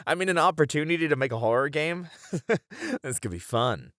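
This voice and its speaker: An actor playing an eager, playful male coworker in an ASMR roleplay audio drama, chatty and animated. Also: on the high side, moderate pace, dry recording, studio quality. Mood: playful, upbeat.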